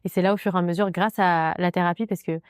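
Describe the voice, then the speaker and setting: A woman from France, a podcast guest, telling her personal story candidly in a halting way, with many fillers and restarts. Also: lowish voice, fairly even intonation, clean tone, dry recording, clean studio sound.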